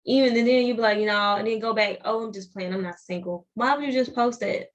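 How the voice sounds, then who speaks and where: A woman's voice: fairly high pitch, quick pace, dull audio. A young woman with a Southern US accent, a podcast guest talking animatedly in casual chat and often restarting her phrases.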